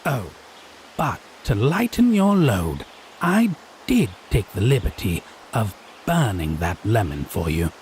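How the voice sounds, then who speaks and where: An actor playing a haughty, teasing male Fae in an audio roleplay, speaking in an arch, pompous, theatrically drawn-out way. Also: lowish voice, sing-song, darkish tone, a little hiss or hum. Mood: haughty, triumphant. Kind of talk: scripted audio roleplay drama.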